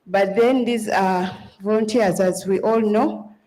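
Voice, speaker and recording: A woman's voice: mid-range voice, a little slow, dark tone, rough recording. Ugandan woman asking a question at a conference, polite, a bit hesitant. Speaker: community liaison officer. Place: conference hall.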